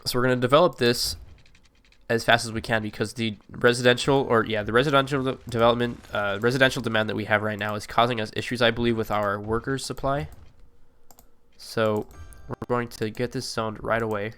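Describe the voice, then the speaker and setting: A man's voice: mid-range voice, fairly even intonation, fairly quick, fairly bright tone, clear, resonant tone. A man with a slightly congested, allergy-stuffed voice, giving casual, rambling commentary over a city-building game with many fillers and self-corrections. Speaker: gameplay video creator.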